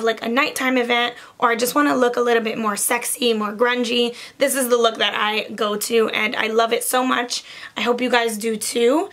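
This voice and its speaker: A young woman with a hoarse, raspy voice, chatting casually through a makeup tutorial with many "like" fillers. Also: a little high, a bit bright. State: hoarse from lost voice. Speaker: beauty vlogger.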